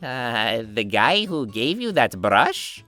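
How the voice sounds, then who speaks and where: A bright, slow-paced cartoon voice that swoops widely in pitch. The character is squeamish, blunt and easily startled, speaking haltingly over faint music. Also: female voice, big pitch swings, no room sound, heavily acted. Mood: puzzled. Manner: halting, incredulous. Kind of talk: cartoon voice acting for fan animated series.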